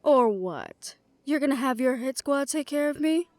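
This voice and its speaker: Woman acting feisty young werewolf, loyal n sarcastic, highish voice, very dry recording, audio drama. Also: expressive, normal speed, a little mellow, dry recording. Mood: indignant challenge. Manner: challenging, sarcastic.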